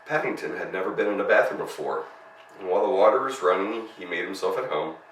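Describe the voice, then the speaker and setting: A man's voice: low voice, fast, a bit bright. A man narrating a children's story as a storyteller, reading expressively. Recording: roomy, distant mic, faint noise.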